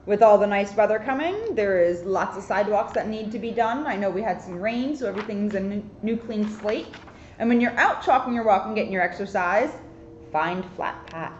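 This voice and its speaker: A woman's voice: mid-range voice, lively intonation, a little fast, a little mellow. A woman police officer reading a picture book aloud expressively, with chatty, casual asides. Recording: roomy echo, muffled, faint noise.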